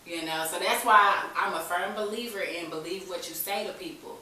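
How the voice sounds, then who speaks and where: Echoey, bright-voiced American woman, slightly low. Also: normal speed. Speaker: talk show guest sharing her recovery story. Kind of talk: candid personal storytelling in conversation. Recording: echoey room, distant mic, faint hiss.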